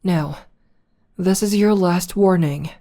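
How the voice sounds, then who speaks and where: A woman acts out a female villain caring for her heroic nemesis in a soft ASMR roleplay. Her voice is mellow and fairly low, and she takes her words very slowly. She soothes and teases, lingering on endearments with possessive tenderness. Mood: stern, exasperated. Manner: soothing, drawn-out, teasing endearments.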